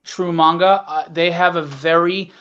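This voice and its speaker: High-pitched man, dull lo-fi sound. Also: fairly even intonation, a little slow, sharp tone, clean tone. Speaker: comic book creator, guest. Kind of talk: casual chat promoting own book.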